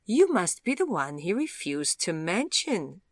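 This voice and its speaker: A woman's voice: low-pitched, sing-song, unhurried, a bit bright, dry recording, clean studio sound, exaggerated voice. A woman voicing a glamorous, haughty foreign woman who speaks bluntly with a foreign accent.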